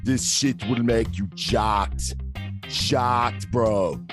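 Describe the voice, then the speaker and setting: A man's voice: lowish voice, extremely slow. A man playing a dim, cocky gym-bro radio host with swaggering, repetitive bro slang in a parody show. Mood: cocky, pushy. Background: guitar music.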